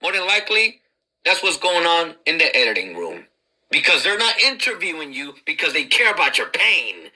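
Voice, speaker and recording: A woman's voice: deep voice, very animated, moderate pace, bright, forceful tone, breathy edge, rough recording. A woman giving a mocking commentary to camera, laughing and jeering as she talks. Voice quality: mocking, laughs while talking. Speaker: video commentator. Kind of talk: mocking monologue.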